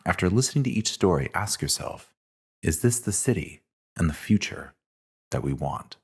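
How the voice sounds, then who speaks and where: Man, deep pitch, husky, scripted host narration. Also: animated, normal speed.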